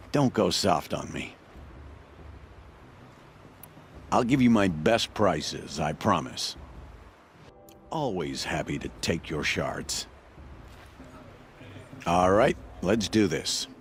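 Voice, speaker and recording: A wry male trader in a fantasy game. His voice is low and confiding, conversational and a little sly, over faint background noise. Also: very animated, slow, pauses often, exaggerated voice. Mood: wry, warm.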